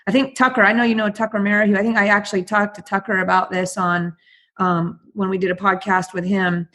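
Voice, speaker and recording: Host: playful, excitable woman with a husky, breathy voice. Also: medium pitch, fairly quick. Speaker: podcast host. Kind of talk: casual interview chat.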